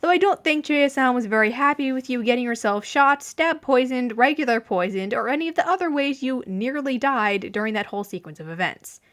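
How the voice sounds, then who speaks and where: A woman voicing a composed, deadpan mafioso man in a fan fiction reading, dry and matter-of-fact. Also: high-pitched, a little fast, hardly any pauses. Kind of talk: fan fiction audiobook character voice.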